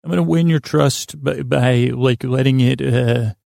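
A man hosting a podcast in a creaky voice, rambling soothingly through a halting, meandering, self-deprecating bedtime monologue. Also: mid-range voice, mellow, no room sound, studio quality. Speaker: podcast host.

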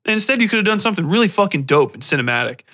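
A man hosting a podcast, giving a casual, animated and opinionated film rant in slangy, profane language. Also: sits high, very fast. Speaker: podcast host.

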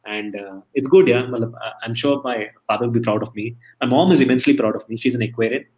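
A man from India speaks as an interview guest, rattling off stories at a fast clip. His talk is chatty and loose, full of restarts. He sounds middle-aged. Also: mid-range voice, darkish tone, rough recording. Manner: chatty, storytelling, many restarts. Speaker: interview guest, emcee and entertainer.

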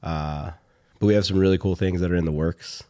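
Low-voiced, slightly hoarse young man chatting. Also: steady tone, a little mellow. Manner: rambling, halting, swears casually. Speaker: podcast host. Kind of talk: casual chat.

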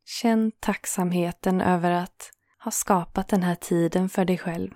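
A woman guiding a yoga nidra relaxation in a calm, soothing manner, leaving gentle pauses between phrases. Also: fairly low pitch, no echo, studio quality. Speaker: meditation guide and host.

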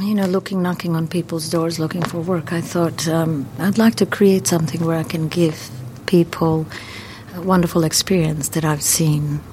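A woman's voice: fairly low pitch, darkish tone, slight background noise, poor audio. A middle-aged woman from Croatia, a theater founder chatting hesitantly while giving a tour. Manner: chatty, hesitant with many ums. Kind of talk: guided tour interview.

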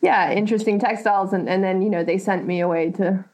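A woman from Thailand talks as a guest in a relaxed podcast chat. She rambles through her thoughts with plenty of ums and likes. She laughs easily between phrases. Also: medium pitch, quick pace. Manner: rambling, laughs often, many fillers. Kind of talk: casual chat.

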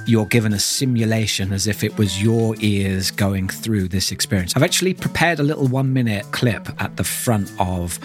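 A man's voice: lowish voice, normal speed, few pauses, faint music behind. A man hosting a podcast, talking through an introduction in a thoughtful, explanatory way. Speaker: podcast host.

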